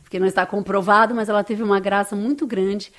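A woman's voice: mid-range voice, steady tone, fast. A woman from Brazil with a Brazilian Portuguese accent, speaking as an interview guest in a heartfelt, conversational storytelling way.

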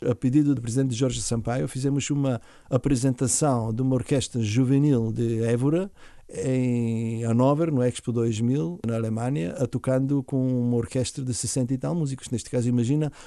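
A middle-aged man from Bulgaria speaking with a Bulgarian accent, recounting his story in an interview in a halting way, with restarts. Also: mid-range voice, fairly even intonation, mellow, no room sound, studio quality. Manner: halting, with frequent restarts and fillers. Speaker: interview guest, violinist and orchestra founder.

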